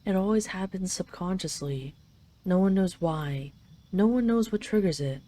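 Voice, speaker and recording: A woman's voice: medium pitch, normal speed, dark tone, natural voice. A woman playing a possessive, doting dragon queen in an intimate roleplay audio, speaking in a teasing, tender, lingering way. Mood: tender, confiding.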